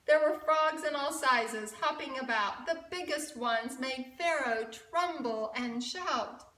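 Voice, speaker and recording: A woman storyteller reading a picture book aloud to children, expressive and deliberate, often putting questions to her listeners. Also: high-pitched. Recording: roomy echo, distant mic.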